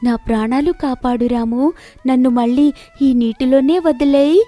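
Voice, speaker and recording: A woman voicing a golden fish who is a cursed mermaid princess, pleading earnestly in an animated moral story. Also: sits high, measured pace. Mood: reassuring, pleading.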